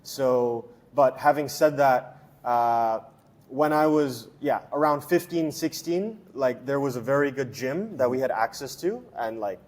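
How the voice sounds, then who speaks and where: A man's voice: mid-range voice, unhurried. Young male coach, from Hong Kong; casual, rambling, filler-heavy interview talk. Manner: relaxed, chatty, many fillers and restarts. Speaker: strength and conditioning coach.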